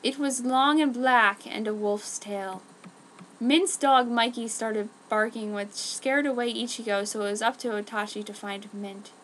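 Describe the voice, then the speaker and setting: Female amateur narrator, higher-pitched voice, mock-serious storytelling, faint noise behind. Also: fairly even intonation, measured pace, a bit bright, poor audio. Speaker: amateur fan fiction reader. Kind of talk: dramatic reading of fan fiction.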